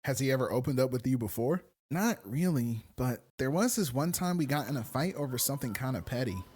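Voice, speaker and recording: A man's voice: medium pitch, lively intonation, fairly quick, a little mellow, slightly rough, voice pushed down. A man voicing a young friend talking candidly about relationship troubles in conversational skit dialogue for a video essay. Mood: frustrated.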